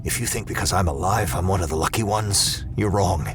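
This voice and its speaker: An actor playing a grim, weary, commanding space ranger in a sci-fi audio drama, with stern, measured delivery. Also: male voice, low voice, noisy background, lightly acted. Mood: gruff, guarded.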